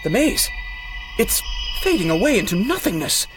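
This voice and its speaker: Man playing an earnest, animated rookie hero. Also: sits high, moderate pace, music in the background. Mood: bewildered, frantic. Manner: exclamatory, earnest. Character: earnest, boyish rookie male hero, easily flustered. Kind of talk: 1930s-style radio serial acting.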